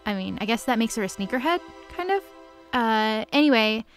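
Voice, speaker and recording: A woman's voice: fairly high pitch, animated, brisk, soft background music. A woman giving a casual, comedic recap of a story in slangy language and acting out the dialogue. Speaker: co-host recapping a story series.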